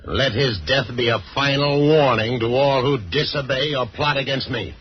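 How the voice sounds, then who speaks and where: An actor playing a cold, commanding outlaw boss with a menacing, authoritative manner in a 1940s Western radio serial. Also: male voice, mid-range voice, steady tone, moderate pace, close to an everyday voice. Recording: muffled old radio recording, faint noise.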